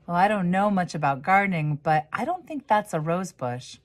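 A woman's voice: lowish voice, expressive, normal speed, natural voice. A woman voicing a friendly, skeptical man next door in a storybook, politely doubtful. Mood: puzzled, doubtful.

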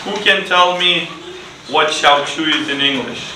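A middle-aged man teaching English to children, speaking deliberately in simple phrases and repeated questions. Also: fairly high pitch. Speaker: ESL teacher. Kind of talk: interactive classroom teaching to children. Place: school classroom, indoors. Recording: roomy, distant classroom recording with clear noise.

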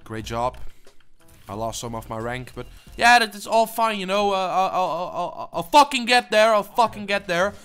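A man's voice: high-pitched, lively intonation, moderate pace, smooth, soft background music. Stammering, swearing young gamer man. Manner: stammering, swearing, flips between mumbling and yelling. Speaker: gaming channel host. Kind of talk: casual gameplay commentary.

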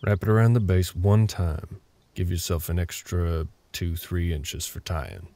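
A man voicing a gruff, terse older cowboy with a Southern US drawl and a gravelly voice in a roleplay audio drama. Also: low voice, a little slow, dark tone. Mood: matter-of-fact, instructing. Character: gruff older cowboy ranch hand, grumpy, curt, grudgingly helpful.

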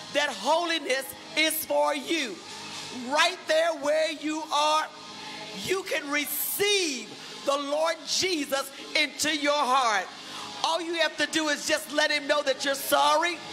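An older woman preaching an emphatic, declamatory invitation into a handheld microphone in a large convention hall. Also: high voice. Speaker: church leader exhorting worshippers. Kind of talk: sermon, altar invitation. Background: prominent music.

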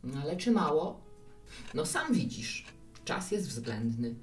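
A woman's voice: low-pitched, sing-song, slow pace, full character voice. A woman playing a hospitable, reflective bar owner in a radio play, coaxing and musing as she talks. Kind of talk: Polish radio play dialogue. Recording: roomy, distant mic with music.

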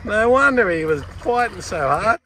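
A man's voice: high voice, normal speed. A man hosting a sailing vlog, chatting casually and calling out encouragement to a fisherman on deck. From Australia. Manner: excitable, calls out encouragement. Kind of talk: casual onboard chat and coaching. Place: open sailboat deck at sea. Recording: wind and boat noise.

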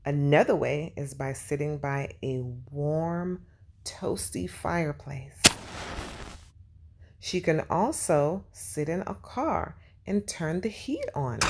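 A woman teaching young children and reading a storybook aloud, speaking simply and deliberately and putting questions to her listeners. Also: lowish voice.

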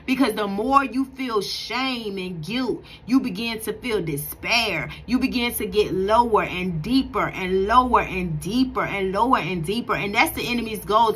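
A woman's voice: fairly high pitch, bright, forceful tone, slight background noise. A woman in her thirties giving casual devotional encouragement to her viewers from home, talking earnestly in a preachy, conversational way full of restarts. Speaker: faith vlogger. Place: bright room at home, indoors. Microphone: phone camera at close range.

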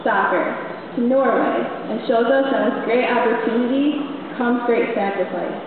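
A graduating teenage girl delivering a graduation speech with measured, deliberate pauses, heard over a podium mic. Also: fairly high pitch. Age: late teens. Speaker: graduating student speaker. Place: large hall or venue over loudspeakers. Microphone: podium mic, taped from the audience. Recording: distant echoey hall, tinny and noisy.

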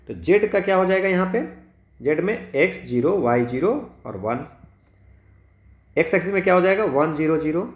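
A man with an Indian accent teaching maths step by step as a tutor, instructive and often checking in with short questions. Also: a little high. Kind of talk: explaining exam questions step by step, switching between Hindi and English. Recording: roomy, echoing sound.

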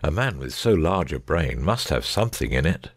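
Gentleman detective for an audiobook , acted by a man: very low, animated, assured and precise, lecturing his friend. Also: normal speed, slightly rough, no room sound, clean studio sound, heavily acted. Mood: confident. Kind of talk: dramatic audiobook reading.

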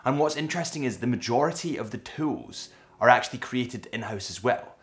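A man's voice: medium pitch, brisk. Young Scottish man presenting with enthusiasm. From Scotland. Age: young adult. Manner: animated, gestures with his hands. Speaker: watch retailer presenter. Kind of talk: enthusiastic watch vlog narration. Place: small bright indoor studio set.

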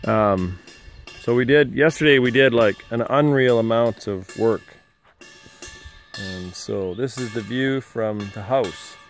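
A man's voice: medium pitch, slow, soft background music. A middle-aged man talks casually through a tour of his timber house frame outdoors, recorded on a handheld camera and rambling with many fillers. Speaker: vlogger touring his house build. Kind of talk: casual walkthrough narration.

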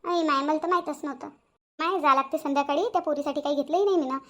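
A woman's voice: high voice, racing. A woman with a Varhadi accent from Vidarbha, acting out chatty village women's dialogue for a cartoon story in colloquial, exclamatory speech.

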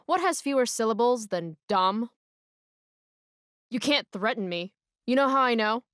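A woman's voice: on the high side, lively intonation, unhurried, fairly bright tone, smooth, no room sound, studio quality. A young woman playing a rebellious, sarcastic teenage girl in a video game, with an American accent and a sardonic, defiant delivery. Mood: mocking defiance. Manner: sardonic, defiant, foul-mouthed.

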